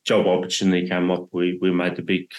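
A man in his forties from South Africa, first language Afrikaans, guesting on a podcast and telling his story in a relaxed, casual way with plenty of ums and restarts. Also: low-pitched, quick pace. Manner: relaxed, many fillers and restarts. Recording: roomy echo.

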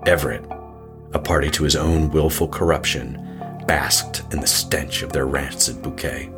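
A man narrating a horror story in an ominous, deliberate, literary manner. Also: very deep voice, steady tone. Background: music.

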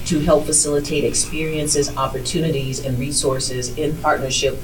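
Low-voiced woman panelist, distant mic. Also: little pitch movement, moderate pace. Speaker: panel guest, educator. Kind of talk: earnest panel discussion.

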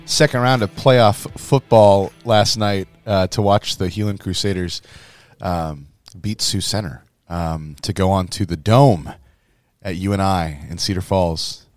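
Hoarse, low-voiced priest. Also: male voice, a little slow, no echo, faint music behind. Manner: rambling, storytelling with laughs. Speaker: priest and podcast co-host. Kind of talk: casual chat.

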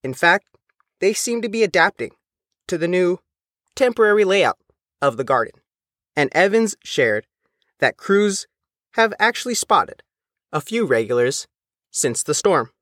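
A man reading a scripted news feature as the reporter, in a measured, careful way. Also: a little high, a bit bright, no echo, clean studio sound. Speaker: reporter and narrator.

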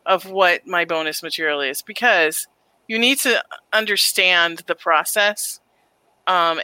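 A woman's voice: on the low side, bright, forceful tone, clear, resonant tone, no room sound. A woman in casual chat, explaining things with a wry tone.

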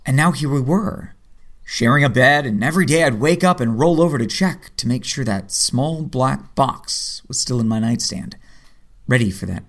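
Man acting a husky, wry vigilante hero. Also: mid-range voice, expressive, normal speed. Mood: tender, reflective. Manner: wry, self-deprecating. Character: wry, lovestruck 38-year-old male vigilante hero narrating his story. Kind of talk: superhero audio drama acting with first-person narration.

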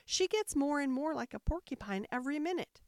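Woman voicing a high, earnest little girl. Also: expressive, a little fast, no room sound, clean studio sound. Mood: puzzled, musing. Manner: earnest, wistful, polite. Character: polite, curious, dreamy little girl. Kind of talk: storybook character voice.

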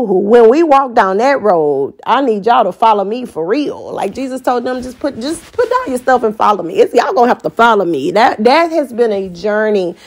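A woman's voice: a little high, a little mellow. A woman in her forties with an American accent, hosting a podcast as an unscripted, candid and colloquial personal monologue. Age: 40s. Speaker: podcast host.

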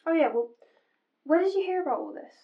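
A woman's voice: very high voice, expressive, quick pace, lo-fi sound, exaggerated voice. A woman playing a chatty, sceptical railway worker in an amateur audio drama. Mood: casual. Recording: clear background noise and ambience.

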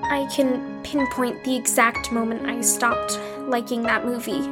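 A woman's voice: a little high, flat delivery, unhurried, natural voice. A woman playing a troubled patient in an audio drama, giving a confessional monologue about a haunted childhood. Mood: somber, reflective. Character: troubled patient recounting childhood torment by inner voices. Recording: loud piano music behind the voice. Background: piano music.

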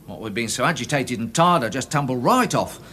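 An actor playing a flustered, deferential little witness in a radio drama, with an English accent and hesitant manner. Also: male voice, mid-range voice, expressive, fairly quick, pushed and bright, slight background noise. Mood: nervous, apologetic.

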